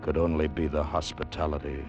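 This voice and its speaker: A grave storyteller in an old radio drama. His deep voice is solemn and dramatic. Music plays behind him on a dull vintage recording. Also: flat delivery, fairly quick. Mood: somber. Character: grave male storyteller of adventure and doom. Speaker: narrator. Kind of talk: 1950s radio drama narration.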